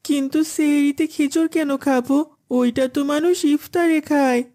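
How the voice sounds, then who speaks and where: A woman's voice: sits high, fairly even intonation, moderate pace, mellow, close to an everyday voice. A woman voicing an eager, stubborn young schoolboy in a cartoon, with an insistent, childlike manner. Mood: curious. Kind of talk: cartoon dialogue.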